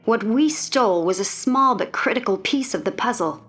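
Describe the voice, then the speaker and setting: A woman's voice: a little high, expressive, moderate pace, a bit bright. A cool, blunt female soldier. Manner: curt, cool, matter-of-fact. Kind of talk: video game cutscene dialogue.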